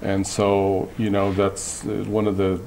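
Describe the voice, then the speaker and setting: A man with a very deep voice talks through his ideas slowly and thoughtfully, often pausing and restarting his sentences. A faint hiss sits under the recording. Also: completely flat delivery, gravelly, a little mellow, low-quality recording. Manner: reflective, halting, many restarts and fillers. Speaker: guest artist. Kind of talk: interview conversation.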